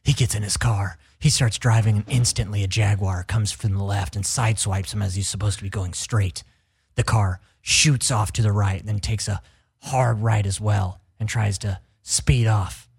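A man's voice: lowish voice, fairly even intonation, moderate pace, vocal fry, slightly rough. Jokey young-sounding man chatting. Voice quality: light, youthful-sounding voice. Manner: jokey, laughs often, storytelling. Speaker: podcast host. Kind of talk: casual chat.

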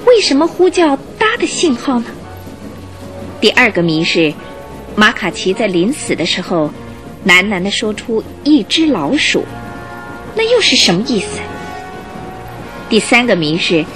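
A woman giving scripted, expressive storytelling narration for a Chinese radio drama in a measured manner. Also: a little high.

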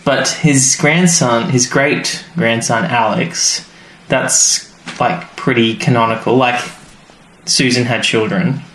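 A man's voice: medium pitch, steady tone, slow, fairly bright tone. A man co-hosting a podcast, chatting casually and rambling with many fillers. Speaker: podcast co-host. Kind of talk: casual chat. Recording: roomy with faint noise.

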